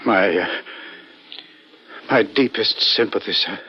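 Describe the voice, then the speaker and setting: An actor playing a timid, deferential manservant with a hesitant, stammering, apologetic way of talking, in vintage radio drama style. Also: male voice, on the low side, takes it slowly, breathy edge, lo-fi sound. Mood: meek. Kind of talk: 1940s-60s American radio drama acting.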